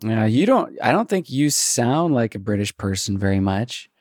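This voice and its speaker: A man's voice: on the low side, sing-song, moderate pace, darkish tone, no echo, studio quality. A man hosting a casual chat, talkative and eager to recommend things.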